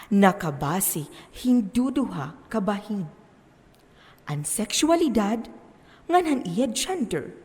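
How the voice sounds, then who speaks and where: An actress plays an instructive stage character who lectures slowly and deliberately. The delivery is declamatory, full of questions, and rings through a reverberant hall. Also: female voice, medium pitch, a little mellow. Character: lecturing personified character explaining gender and sexuality. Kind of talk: stage play monologue, explanatory.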